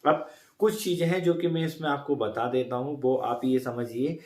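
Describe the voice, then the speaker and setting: A man's voice: mid-range voice, normal speed, a little mellow, reverberant. A man casually explaining an insurance plan to his viewers, repeating points and correcting himself as he goes. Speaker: insurance advisor vlogger.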